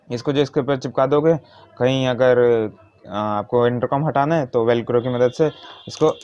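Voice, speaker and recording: A man's voice: mid-range voice, a little mellow. A man giving an informal hands-on tutorial step by step, mixing in English words. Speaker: motovlogger giving a how-to demonstration. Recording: dull, muffled lo-fi sound.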